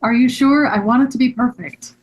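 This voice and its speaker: A woman's voice: on the high side, brisk, slightly rough, low-quality recording. A woman playing an earnest, polite, grateful young male shop owner in a table read. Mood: anxious.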